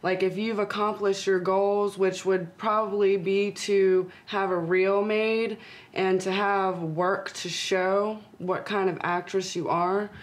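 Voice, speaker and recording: A woman, an actress and model, answering interview questions with steady, explanatory advice. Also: mid-range voice, takes it slowly.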